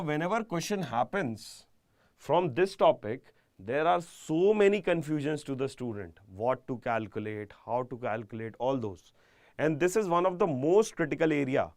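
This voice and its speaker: A man with an Indian accent giving a live online exam-prep engineering lecture, speaking deliberately and emphatically and repeating key phrases. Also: mid-range voice, darkish tone, no room sound, clean studio sound.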